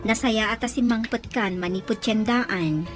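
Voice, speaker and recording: A woman's voice: on the high side, measured pace, over music, close to an everyday voice. A woman acting in a radio drama as a suspicious, determined lady probing for answers. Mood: suspicious, resolute. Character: suspicious, determined lady questioning her brother's caregiver.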